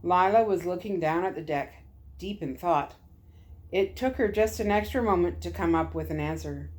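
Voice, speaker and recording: A woman reading a story aloud in an expressive narrating style, as a storyteller. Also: on the low side, moderate pace.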